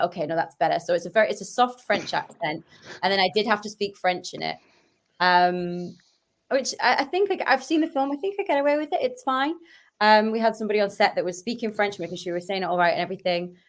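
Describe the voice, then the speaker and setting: Chatty Cumbrian woman, young. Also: medium pitch. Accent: Northern English, Cumbrian. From Barrow-in-Furness, Cumbria, England. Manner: chatty and animated, many restarts and quoted asides. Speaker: guest, sketch comedian and actress. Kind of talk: casual podcast chat.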